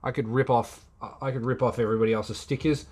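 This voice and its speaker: A man's voice: mid-range voice, steady tone, fast, a little mellow, slight background noise. A man talks casually to his live stream, reading out chat comments and answering them. He rambles and restarts often, filling gaps with "um" while sorting out his thoughts. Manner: rambling, many fillers and restarts; reads and replies to chat comments. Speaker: livestream host answering chat.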